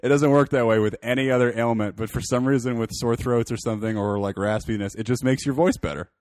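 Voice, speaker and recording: Hoarse joking man, dry mic. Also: mid-range voice, fairly even intonation, fast, a little mellow, no echo. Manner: joking, rambling, laughs often. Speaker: podcast co-host. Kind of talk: casual podcast chat.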